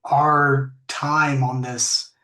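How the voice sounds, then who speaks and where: Deep-voiced woman, slow and reflective. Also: little pitch movement, pushed and bright. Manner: reflective, unhurried, many fillers and restarts. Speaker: podcast guest. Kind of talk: casual interview talk. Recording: echoey room.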